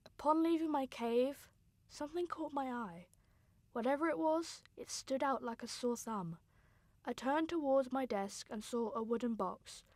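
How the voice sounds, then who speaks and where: Woman playing a high-voiced grieving young sister. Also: no room sound. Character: grieving young sister narrating her brother's loss. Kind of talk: scripted radio drama narration.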